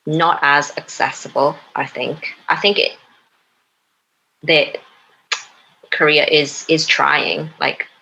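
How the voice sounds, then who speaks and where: Young woman from Newcastle upon Tyne, a poet and podcast guest, low bright voice, hesitant and full of fillers. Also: steady tone, gravelly, husky, rough recording. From Newcastle upon Tyne, England. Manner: hesitant, many pauses and fillers. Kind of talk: casual interview chat.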